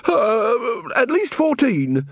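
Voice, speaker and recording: A shrill, blustering fat tavern keeper, as a male narrator puts him on, pushy and complaining. The voice climbs high and swings about wildly. The recording is dry and close. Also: wildly swooping pitch, drawn-out words, husky, no echo, dull audio, heavily acted. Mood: grasping.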